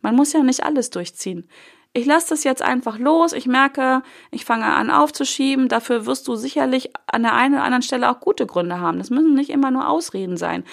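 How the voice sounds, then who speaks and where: A woman's voice: sits high, brisk, vocal fry. A young woman with a cold, hosting a solo motivational podcast in a casual coaching tone, with loose fillers and the odd swear word. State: has a cold. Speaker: podcast host.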